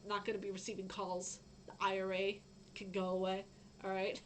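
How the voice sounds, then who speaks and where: A young woman with a fairly low voice, chatting casually on a gaming news stream and reading out news, rambling with lots of fillers. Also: completely flat delivery, brisk, a little hiss or hum. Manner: chatty, rambling, frequent fillers and self-interruptions. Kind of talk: casual live-stream chat.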